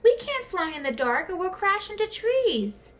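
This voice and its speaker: A woman voicing an earnest, excitable young female bat in a children's story, squeaky and wide-eyed. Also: moderate pace. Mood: frightened.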